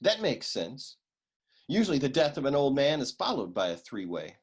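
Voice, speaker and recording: A man playing a hard-boiled, wisecracking private detective in a comic noir radio serial, deadpan and husky. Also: medium pitch, moderate pace. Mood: deadpan, sardonic. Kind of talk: parody noir radio drama acting.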